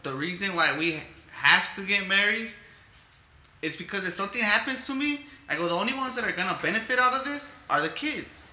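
Bright-voiced man in his thirties. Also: sits high, animated, a little fast, echoey room. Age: 30s. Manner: boastful, profane, repeats himself. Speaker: podcast co-host. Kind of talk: casual comedic banter.

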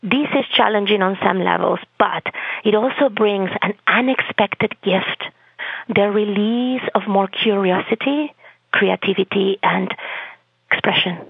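A woman from Spain with a Spanish accent, speaking as an expressive arts therapist in an interview, earnest and affirming. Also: medium pitch, measured pace, creaky, breathy edge, no room sound. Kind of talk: podcast interview. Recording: phone-line audio, dry.

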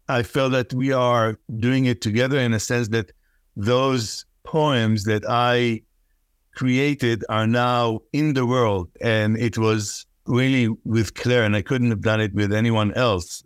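A man from Israel, whose first language is Hebrew, speaking thoughtfully as a poet guest in an interview, pausing and laughing softly. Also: medium pitch, no room sound, studio quality. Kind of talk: reflective interview talk.

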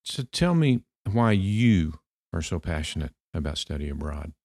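Male podcast co-host, deep-pitched, conversational delivery. Dry, close sound. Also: very animated, normal speed, a little mellow, no room sound.

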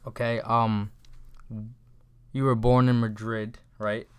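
A young man hosting a casual interview show, asking questions in a relaxed, conversational way. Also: mid-range voice, slow, clean tone, no room sound. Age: young adult. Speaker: host.

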